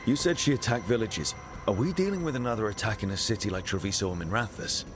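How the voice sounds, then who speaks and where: An actor playing a supportive, reassuring leader of an adventuring team in a video game. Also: male voice, on the low side, lively intonation, fast, noisy background, natural voice. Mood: curious, wry. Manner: reassuring, encouraging. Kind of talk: video game cutscene acting. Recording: music behind the voice.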